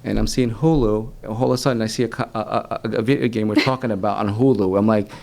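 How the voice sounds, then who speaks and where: A man's voice: on the low side, fairly even intonation, fast, gravelly, a little mellow. A man originally from El Salvador, a native Spanish speaker, talking casually as an expert guest in a radio interview and repeating phrases for emphasis. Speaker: guest expert on digital marketing.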